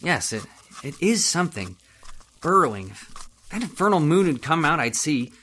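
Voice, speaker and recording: An actor playing a cold, condescending middle-aged scientist husband in an old-time radio horror drama. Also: male voice, a little high, big pitch swings, normal speed, sharp tone, a little hiss or hum. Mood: uneasy, puzzled. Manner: condescending, stern, impatient.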